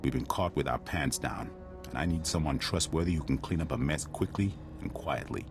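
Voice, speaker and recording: Blunt game commander, extremely low voice, wry, world-weary, loud music behind. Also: male voice, brisk. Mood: wry, irritated. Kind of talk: video game cutscene acting.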